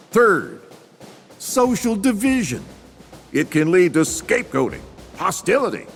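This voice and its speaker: A man's voice: on the high side, in a large hall. A man putting on a barking drill sergeant. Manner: commanding, shouted lecture points. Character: barking male drill sergeant lecturing recruits.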